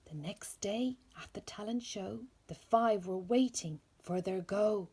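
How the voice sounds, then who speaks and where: Husky, unhurried woman storyteller. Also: mid-range voice, a little mellow. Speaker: storybook reader. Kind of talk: read-aloud rhyming children's story.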